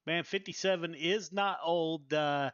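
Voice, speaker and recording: A man's voice: on the high side, measured pace, fairly bright tone, clear, resonant tone, no room sound, lo-fi sound. A man in his fifties hosting a sim racing broadcast, chatty, folksy and enthusiastic. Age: 50s. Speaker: race broadcast host. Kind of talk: sim racing commentary and interview.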